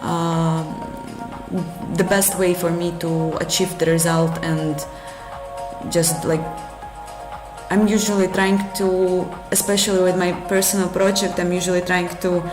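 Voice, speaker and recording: A woman's voice: lowish voice, flat delivery. Russian-accented woman thinking aloud over music. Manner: halting, self-correcting, many fillers. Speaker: game engine developer streamer. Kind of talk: live coding stream, thinking aloud and answering chat. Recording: roomy with music playing behind.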